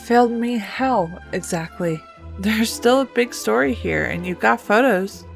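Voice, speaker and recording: A woman voicing a practical, reassuring female newspaper editor-in-chief with a brisk manner in a game story. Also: a little high, animated, creaky, faint music behind.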